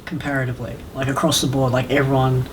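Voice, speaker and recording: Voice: mid-range voice, flat delivery, fast talker, slight background noise. A podcast co-host chatting casually about video games.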